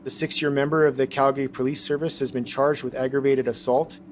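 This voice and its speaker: A man's voice: mid-range voice, flat delivery, brisk, slight background noise. A man, a police spokesman reading a prepared statement in an official, impersonal manner.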